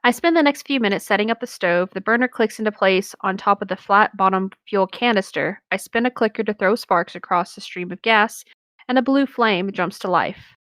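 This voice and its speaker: A woman with a mid-pitched voice reads a story aloud. She mixes narration with short, casual banter. The recording is very dry and close, with no room sound. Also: moderate pace, a bit bright, dry recording. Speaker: co-host reading stories aloud.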